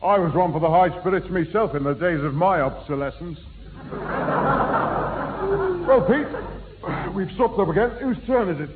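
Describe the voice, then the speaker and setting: A genial Northern English drinker with a high voice and comically grand wording. It is vintage radio comedy, narrow in sound with faint noise. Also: male voice, animated, normal speed, pauses often. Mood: contented. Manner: jolly, pompous malapropisms. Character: genial beer-loving pub regular who mangles long words. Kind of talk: 1960s British radio sitcom acting. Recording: thin, noisy old radio broadcast.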